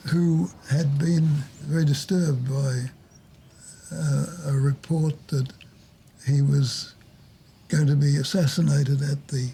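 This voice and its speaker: Older man, Australian accent, fairly high mellow voice, understated, casual reminiscing, faint background noise. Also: steady tone, slow, gravelly.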